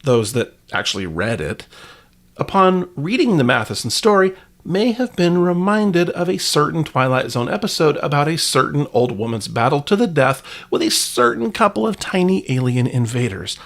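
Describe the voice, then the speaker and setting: A man's voice: fairly high pitch, big pitch swings, normal speed, fairly bright tone. A man hosting a podcast, reading scripted narration in a wry way with playful asides. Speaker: podcast host.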